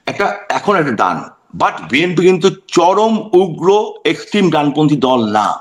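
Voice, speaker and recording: Male political talk-show guest, Bangladeshi accent, slightly high voice; emphatic, rhetorical, repeats for stress. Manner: emphatic, rhetorical questions, repeats phrases for stress, mixes in English words. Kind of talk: impassioned political talk in an interview.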